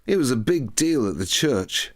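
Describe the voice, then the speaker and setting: A man's voice: mid-range voice, sing-song, normal speed, voice pushed up. A man voicing a reflective, plain-spoken man giving earnest personal testimony in an audiobook. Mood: sober, candid.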